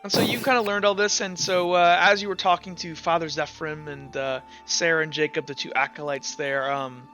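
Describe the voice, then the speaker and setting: A man working as game master, casually recapping a tabletop game in a halting way, stumbling over his words. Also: on the high side, fairly even intonation, sharp tone, faint music behind.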